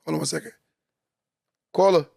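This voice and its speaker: A man's voice: on the high side, fairly even intonation, normal speed, creaky, a little mellow, no echo, clean studio sound. A man hosting a live podcast, preaching to listeners and repeating key lines for emphasis. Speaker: podcast host. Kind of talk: motivational live-stream talk.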